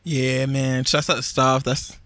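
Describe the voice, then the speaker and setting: A man's voice: mid-range voice, flat delivery, measured pace, a bit bright, dry recording, clean studio sound. A young man casually explaining things in a rambling way, with lots of "like" fillers. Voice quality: raspy when rapping. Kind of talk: casual chat.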